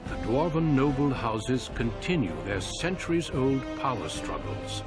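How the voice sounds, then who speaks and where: An actor playing a solemn warrior who narrates a fantasy game with a grave, measured, portentous delivery. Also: male voice, medium pitch, very animated. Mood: measured, stately. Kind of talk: scripted cinematic game narration. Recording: loud music under the voice. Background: loud music.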